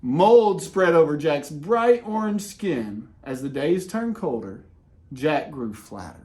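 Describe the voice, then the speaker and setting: A man reading a children's picture book aloud in an expressive way, with dramatic pauses and casual, conversational delivery. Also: a little high. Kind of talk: animated read-aloud with casual asides.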